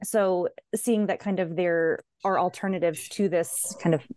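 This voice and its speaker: A woman's voice: on the low side, steady tone, unhurried, darkish tone, no room sound. A woman talking as a guest about a book she co-edited, thoughtful and hedging, with many fillers. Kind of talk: casual academic conversation.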